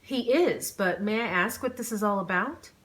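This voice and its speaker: A woman's voice: medium pitch, normal speed, a bit bright, close to an everyday voice. Woman voicing a stern, protective middle-aged wife. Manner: stern, questioning. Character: polite but guarded middle-aged wife, protective of husband.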